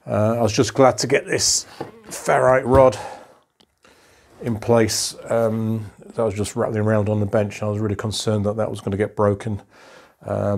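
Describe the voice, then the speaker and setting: Casting note: middle-aged man, lowish voice, unhurried workshop commentary, fillers, bench camera mic. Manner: calm, methodical, many ums and uhs. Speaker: electronics restorer. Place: cluttered home workshop.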